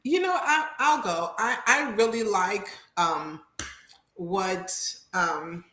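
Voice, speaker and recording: A woman's voice: mid-range voice, lively intonation, takes it slowly, bright, forceful tone, a little hiss or hum. A woman in her fifties giving candid, conversational advice on a panel, emphatic and repeating phrases to drive points home. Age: 50s. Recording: roomy echo.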